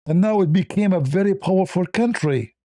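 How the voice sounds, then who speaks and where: A man with non-native English delivers informal religious and political commentary. His voice is mellow and a little high for a man. He argues combatively, repeating rhetorical questions as he goes. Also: a little fast, dry recording, clean studio sound. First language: not English.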